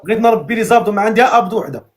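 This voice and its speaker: A man's voice: high voice, expressive, racing. A man with a Moroccan Arabic accent hosting a live matchmaking stream, teasing and joking with callers. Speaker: live matchmaking show host. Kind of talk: casual live-stream chat.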